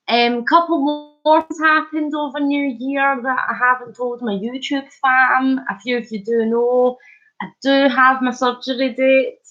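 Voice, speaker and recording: Chatty Scottish woman live-streaming with a cold. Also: on the high side. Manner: chatty, warm greetings, chuckles. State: head cold, sore throat. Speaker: vlogger hosting a live stream. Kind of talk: casual live-stream chat, greeting viewers. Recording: lo-fi dull sound.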